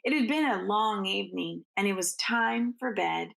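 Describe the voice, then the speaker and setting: A woman reading a children's story aloud like a teacher, expressively, with drawn-out phrasing and hushed asides. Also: mid-range voice, gravelly.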